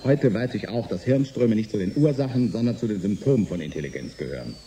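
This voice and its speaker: Bitter middle-aged inventor in a sci-fi radio play, very dark voice, swingy pitch, wry n needling. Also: male voice, expressive, fast, a little hiss or hum. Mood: wistful, resigned. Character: embittered middle-aged male inventor turned saboteur, sardonic and resigned. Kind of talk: German science fiction radio drama acting.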